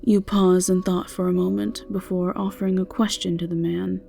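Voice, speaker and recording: A woman's voice: lowish voice, level tone, moderate pace, vocal fry, warm tone, faint music behind. A woman reading scripted second-person narration for a fantasy audio story.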